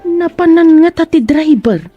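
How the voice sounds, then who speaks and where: A woman's voice: very high voice, animated, normal speed, warm tone, highs cut off, faint music behind. A woman playing a deferential young housemaid in an Ilocano radio drama. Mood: deferential, matter-of-fact.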